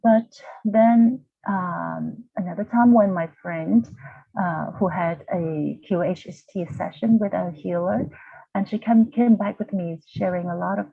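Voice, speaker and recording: A woman's voice: lowish voice, unhurried, gravelly, slightly rough, dry recording. A woman, telling her personal story as a guest, hesitant and restarting often as she searches for words. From Vietnam. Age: 40s. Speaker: guest, university lecturer. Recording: tinny, dry video-call audio.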